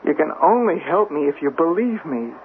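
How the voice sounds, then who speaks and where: Desperate young son, imploring, high animated voice, 1970s radio drama, narrow phone-like broadcast. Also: male voice, normal speed. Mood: anguished pleading. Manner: imploring, emotional. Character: desperate, pleading young son accused of murder. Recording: tinny, noisy old radio broadcast.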